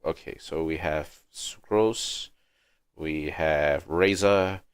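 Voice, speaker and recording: A man's voice: a bass voice, slow pace, clean tone. A man hosting a casual gaming livestream, talking in a hesitant, rambling way. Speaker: livestream host.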